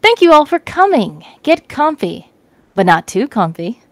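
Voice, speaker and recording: A woman's voice: on the high side, sing-song, a little slow, no echo. A woman voicing a smug, theatrical man hosting a mystery party in a story. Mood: showy.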